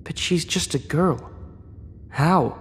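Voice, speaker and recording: Defiant, higher-pitched teenage boy. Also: takes it slowly, a little hiss or hum. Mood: questioning, troubled. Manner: argumentative, sarcastic. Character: defiant, frustrated teenage boy searching for his father. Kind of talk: audio drama acting.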